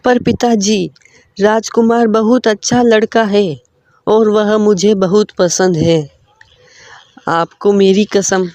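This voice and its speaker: An earnest young village woman from a cartoon moral tale, put on by a narrator in a raised, slow voice. She speaks gently and reassuringly, like someone sincerely in love. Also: mid-range voice, fairly even intonation, dry recording, voice pushed up. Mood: pleading. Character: young woman, landlord's daughter, earnest and lovestruck.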